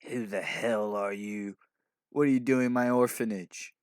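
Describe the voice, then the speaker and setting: A man's voice: fairly low pitch, steady tone, a little slow, smooth, no echo, studio quality, lightly acted. A man voicing a cruel, haughty woman who runs an orphanage, curt and scolding, in a story reading. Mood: furious.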